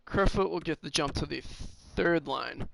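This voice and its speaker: A man with a raspy, gravelly voice that sits a little high, talking his way through a game in a casual, halting way. He speaks in short bits with many fillers, and the recording carries a clear hiss of background noise. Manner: thinking aloud, halting with fillers. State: slightly hoarse. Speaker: gaming streamer.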